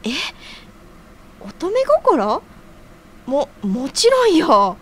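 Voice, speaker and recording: Woman playing a high-pitched teasing senior colleague. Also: wildly swooping pitch, very slow, full character voice. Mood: curious, flustered. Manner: feminine sentence endings, playful. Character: teasing, nosy, cheerful young female senior colleague. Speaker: voice actor in an audio drama. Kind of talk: audio drama dialogue. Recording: audible background noise.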